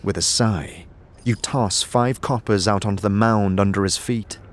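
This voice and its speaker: A man's voice: lowish voice, fairly even intonation, unhurried. A man narrating a fantasy audio drama in the second person, with dramatic, descriptive storytelling and music behind him. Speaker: narrator and game master.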